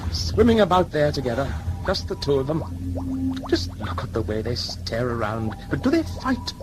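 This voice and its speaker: A man acts out a condescending commander of a convict crew in a sci-fi audio drama. He lectures in a folksy, pompous way with a widely swinging pitch, over music. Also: poor audio. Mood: musing. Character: gruff male governor of a convict scavenger crew, musing and condescending. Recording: music under the dialogue.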